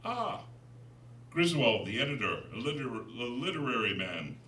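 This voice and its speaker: Soft-spoken sinister gentleman, acted by a man, roomy recording. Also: medium pitch, very animated, measured pace, voice pushed up. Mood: fawning. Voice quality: soft yet clear. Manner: unctuous, oversweet, turning chilly. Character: soft-spoken, sinister gentleman, falsely humble, coldly flattering. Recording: roomy, distant, noisy recording.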